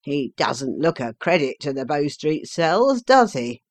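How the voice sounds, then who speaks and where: A woman's voice: low voice, very animated, a little slow, darkish tone. A woman reading the part of a veteran police inspector, blunt and chuckling. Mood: jovial. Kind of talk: audiobook character reading.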